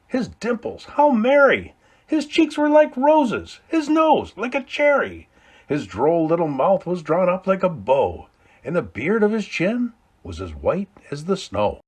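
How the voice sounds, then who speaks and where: A man reading a classic Christmas poem aloud, with dramatic swings between hushed low lines and loud calls. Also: husky. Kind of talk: expressive storybook read-aloud.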